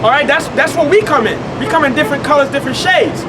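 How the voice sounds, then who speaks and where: A man's voice: extremely high pitch, fast, crowd noise. A man teaching scripture informally, repeating himself and checking in with "okay?" and "all right?". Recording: loud traffic noise outdoors.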